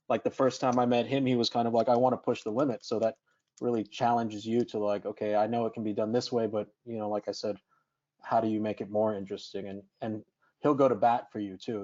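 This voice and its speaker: A man's voice: lowish voice, a little mellow. A man chatting casually and loosely as a film crew guest in a panel conversation. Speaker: film lighting or grip crew, interview guest. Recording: muffled lo-fi online call.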